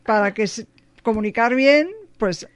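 A middle-aged woman from Spain with a Spanish accent, a language teacher talking in a relaxed group chat, reflective and often checking in with "¿no?". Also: fairly high pitch, measured pace, no echo. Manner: reflective, frequent "¿no?" and "ujú". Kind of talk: casual panel chat.

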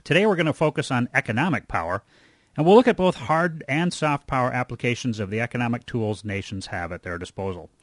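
A man hosting an interview show, speaking in measured, formal phrases as he introduces topics and questions a guest. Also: medium pitch, expressive, no echo, clean studio sound. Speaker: radio show host and interviewer.

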